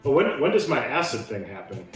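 A man's voice: medium pitch, big pitch swings, fairly quick, far from the mic. A man chatting casually as a tabletop game player, with exasperated asides. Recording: very echoey room. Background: music behind the voice.

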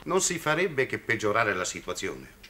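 An actor playing a stern, skeptical lawyer who speaks formally and deliberately. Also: male voice, fairly low pitch, big pitch swings, bright, forceful tone, a little hiss or hum. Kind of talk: 1960s Italian television drama acting.

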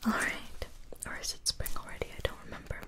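A young woman whispering gentle instructions in an ASMR spa roleplay, speaking slowly and softly to the listener. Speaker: ASMR creator. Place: indoors, small room with a floral backdrop.

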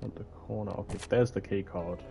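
A man's voice: lowish voice, steady tone, a little fast, creaky, over music. A young man who is a little ill, commentating a horror game on a headset and reading the in-game notes aloud. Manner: reads in-game notes aloud, muttering asides. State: slightly ill. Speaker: gaming streamer. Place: indoor room at a desk.

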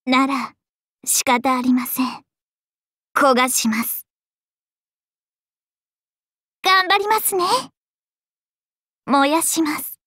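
A voice actress playing a devoted, possessive young dragon maiden in love, speaking politely and deliberately in anime game voice lines. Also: female voice, high voice, expressive, no echo, studio quality, heavily acted. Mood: resolute. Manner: polite, genteel, softly deliberate.

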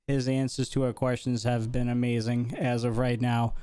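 A man's voice: medium pitch, monotone, moderate pace, warm tone, clean tone, dry recording. A man hosting a podcast over a video call, blunt and teasing, swearing casually. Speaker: podcast host.

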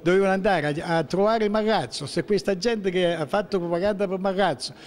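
A man in his sixties with a southern Lazio Italian accent, speaking emphatically and with grievance in an impromptu interview, halting and restarting. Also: high-pitched, big-room sound. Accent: central-southern Italian, southern Lazio. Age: 60s. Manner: emphatic, aggrieved, halting with repeated rhetorical questions. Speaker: interviewee, local political figure. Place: indoor hallway of a public building. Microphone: handheld interview microphone held toward him.

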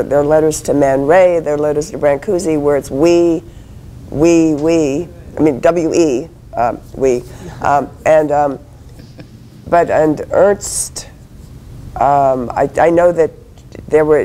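A woman panelist at an academic conference, answering haltingly with many fillers and restarts. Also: deep voice, dark tone, a little hiss or hum. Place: hotel conference room.

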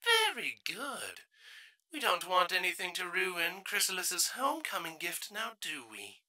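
A man's voice: high-pitched, huge pitch swings, unhurried, sharp tone, pitched above the performer's own voice, exaggerated voice, low-quality recording. A man voicing an ancient, scheming changeling queen, smug and taunting with false sweetness, in a dramatic fan fiction reading. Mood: gloating.

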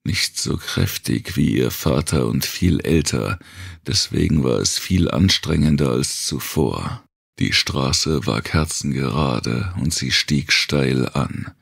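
A man narrating a dark thriller audiobook in a gravelly, husky voice, measured and grave. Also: rumbling low, dry recording, studio quality.

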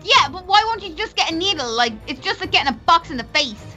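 Woman playing bright, high-voiced sassy princess. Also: very animated, fast talker, soft background music, heavily acted. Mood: scornful. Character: excitable, sassy young princess, quick to tease. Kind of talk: improvised children's game roleplay.

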